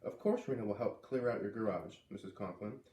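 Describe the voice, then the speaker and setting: A man's voice: fairly low pitch, brisk, dark tone, voice pushed down, natural voice. A man voicing an agreeable storybook father who volunteers his children in a coaxing, falsely cheerful way. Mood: obliging. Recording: roomy, distant microphone.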